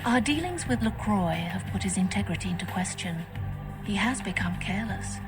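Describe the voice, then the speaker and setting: A woman's voice: mid-range voice, lively intonation, close to an everyday voice. An actress playing a composed, scheming female vampire faction leader in a video game, speaking formally. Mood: calm, calculating. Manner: formal, measured, stately. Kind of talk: video game dialogue acting. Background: music.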